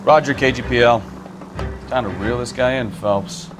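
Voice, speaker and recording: An actor playing a brash, impatient police detective partner in a video game, gruff and casual with slangy talk. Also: male voice, mid-range voice, moderate pace, music in the background, close to an everyday voice. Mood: brisk. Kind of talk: dramatic video game acting.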